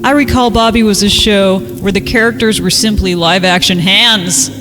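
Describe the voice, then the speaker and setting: A man's voice: high voice, fairly even intonation, normal speed. A man reading a horror story aloud and breaking off for mocking, foul-mouthed asides. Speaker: podcast reader. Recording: music behind the voice. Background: music.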